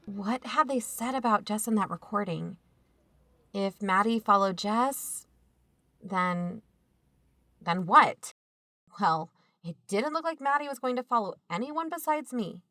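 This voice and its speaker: An actress playing a watchful, protective aunt in a first-person audio drama, conversational and wry. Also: female voice, medium pitch, lively intonation, measured pace, sharp tone, no echo, studio quality. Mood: uneasy narration. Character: watchful, protective aunt narrating her own mystery.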